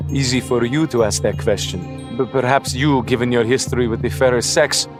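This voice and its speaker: A man playing an earnest, proud superhero strongman in an audio comic drama, with formal, measured, restrained speech. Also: medium pitch, steady tone, over music, close to an everyday voice. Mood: guarded, reproachful.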